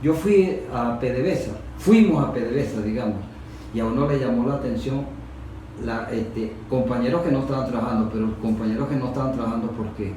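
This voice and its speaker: A Venezuelan man speaking unscripted in a discussion, explaining haltingly and repeating phrases for emphasis. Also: mid-range voice, warm tone. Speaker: industrial worker giving testimony. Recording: very echoey room, distant mic, faint noise.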